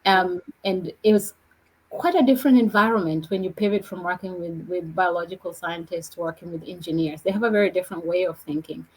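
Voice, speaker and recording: A woman speaks on a podcast as a guest, her voice slightly husky and pitched a little low. She tells her story in a measured, reflective way. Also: a little mellow, poor audio. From Kenya. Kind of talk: podcast conversation.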